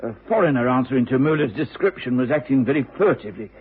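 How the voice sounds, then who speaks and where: Weary inspector, husky, mid-pitched, plain-spoken, radio drama. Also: male voice, animated, quick pace. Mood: rueful. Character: weary, matter-of-fact male police inspector. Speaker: supporting actor. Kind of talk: British radio drama acting. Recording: tinny old radio recording.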